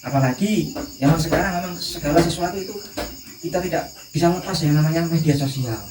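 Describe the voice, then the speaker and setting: A man in his thirties with a Javanese accent, speaking haltingly as a guest in an informal panel talk. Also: fairly high pitch. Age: 30s. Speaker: discussion guest. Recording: distant, echoey, noisy recording with insects.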